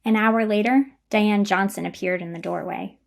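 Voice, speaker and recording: A woman's voice: mid-range voice, steady tone, normal speed, gravelly. A woman narrator reading scripted linking narration for a drama.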